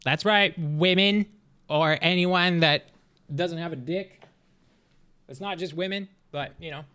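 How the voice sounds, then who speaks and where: A man in his thirties chatting casually on a live stream, rambling and joking as he reacts to a video, his voice swinging between loud and soft. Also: a little high, measured pace, clear, resonant tone.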